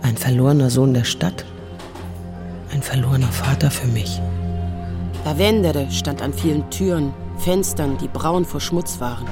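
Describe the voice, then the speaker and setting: A woman's voice: extremely low pitch, a little slow. Wry melancholy woman in her forties, dry delivery, audio drama, music behind her. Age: middle-aged. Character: melancholy, wry woman writer around forty. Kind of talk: literary first-person narration and acted dialogue in an audio drama.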